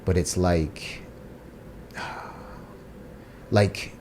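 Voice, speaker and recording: A man's voice: deep voice, steady tone, extremely slow, slight background noise. A man co-hosting a reaction video, chatting casually and reading captions aloud in a hesitant way. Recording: music playing loudly underneath.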